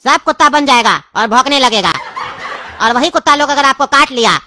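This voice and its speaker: High, rapid-fire cartoon reporter voice, acted out. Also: expressive, sharp tone, a little hiss or hum, exaggerated voice. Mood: urgent, earnest. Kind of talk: comic cartoon news report.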